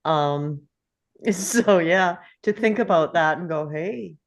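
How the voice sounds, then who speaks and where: A woman's voice: fairly low pitch, takes it slowly. A woman speaking casually and conversationally in a group discussion.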